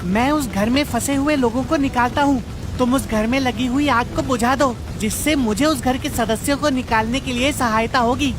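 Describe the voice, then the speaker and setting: A woman playing an earnest young boy hero with magic powers in a cartoon story, speaking in clear, deliberate sentences. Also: high voice, music in the background, lightly acted. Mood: earnest, resolute.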